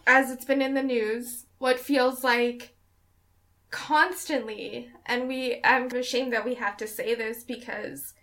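A woman's voice: a little high, steady tone, slow pace, fairly bright tone. Earnest woman hosting a podcast. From Canada. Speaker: podcast host. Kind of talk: casual remote podcast chat.

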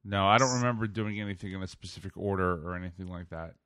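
A man co-hosting a casual gaming podcast, easygoing, laughing often and chiming in with quick agreement. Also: low voice, fairly bright tone, clean tone, no echo, studio quality. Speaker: podcast co-host.

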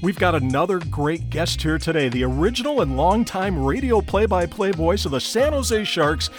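A middle-aged American man hosting an instructional podcast in an emphatic, coaching way, speaking straight to the listener like a practiced sports broadcaster. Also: on the high side. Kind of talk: prepared instructional podcast narration with personal anecdotes.

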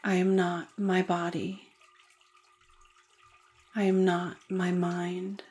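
A woman leading a guided meditation in a soothing, deliberate manner with gentle pauses. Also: lowish voice, little pitch movement.

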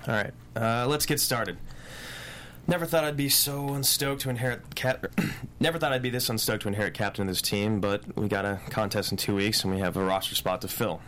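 A man's voice: on the low side, a little fast, fairly bright tone, natural voice. Guy playing troubled teen surfer boy, blunt and slangy, table read, faint noise. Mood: curt, reluctant. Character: blunt, troubled teenage boy surf team captain.

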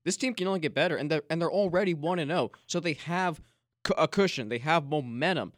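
A man hosting a sports podcast from a studio, recapping a football game with emphatic excitement. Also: on the high side, quick pace, dry recording. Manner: excited, emphatic, addresses co-host by name. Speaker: podcast host. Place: radio studio.

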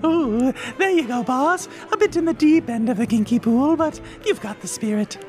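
High-voiced, cocky, flirty villain henchwoman. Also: animated, moderate pace, darkish tone, faint music behind. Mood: teasing. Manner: innuendo-laden, teasing, brash. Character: lewd, cocky, flirtatious henchwoman in fantasy game. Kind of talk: comedic fantasy voice acting.

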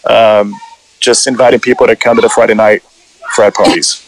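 A man's voice: lowish voice, level tone, moderate pace, poor audio. A man in his forties from Puerto Rico, a podcast guest telling his life story in a loose, rambling way full of "you know" fillers. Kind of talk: casual storytelling chat.

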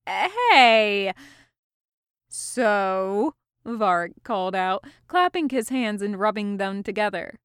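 A woman's voice: mid-range voice, normal speed, few pauses, fairly bright tone, clear, resonant tone, no room sound, studio quality. A woman reading fiction aloud as a scripted narration. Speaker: amateur audiobook reader.

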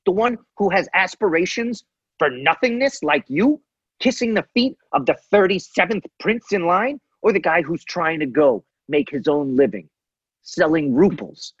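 A man's voice: high voice, expressive, moderate pace, breathy edge, no room sound, lo-fi sound. A man voicing William, a smug, scheming young royal heir, with a put-on Polish accent and a puffed-up, snooty delivery.

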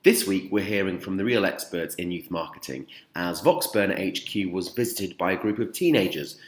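A man's voice: low-pitched, expressive, moderate pace. A man presenting a podcast with a scripted introduction. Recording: echoey room.

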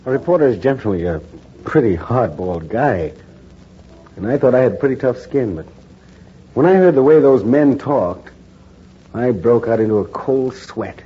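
An earnest undercover reporter narrating. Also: male voice, medium pitch, lively intonation, husky. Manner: earnest, measured. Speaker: narrator and lead. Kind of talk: 1940s radio acting. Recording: dull old radio recording with music.

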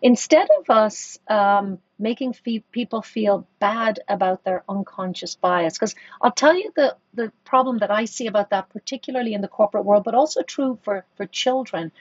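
Woman, executive guest, husky mid voice, dull dry podcast sound, thoughtful and hesitant. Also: mid-range voice, normal speed, few pauses, no echo. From Ireland. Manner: thoughtful, many restarts and fillers. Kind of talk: conversational podcast interview.

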